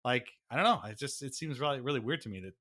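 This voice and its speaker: A man co-hosting a sports podcast in casual chat, animated and sardonic, mockingly acting out what others say. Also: medium pitch, fast. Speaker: podcast co-host.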